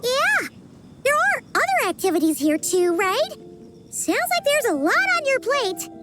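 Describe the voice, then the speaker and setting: A woman voicing a small, childlike, excitable fairy companion in a video game. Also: extremely high pitch, measured pace, music in the background, heavily acted. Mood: cheerful, eager. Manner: speaks of herself in third person, exclaiming. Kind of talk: animated video game voice acting.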